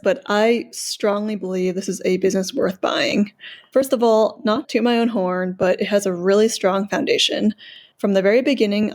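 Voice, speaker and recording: A woman's voice: mid-range voice, darkish tone. A woman selling her business, giving earnest, well-organized answers in a podcast interview and laying out her points one by one. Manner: self-promoting, proud of her work. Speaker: business seller.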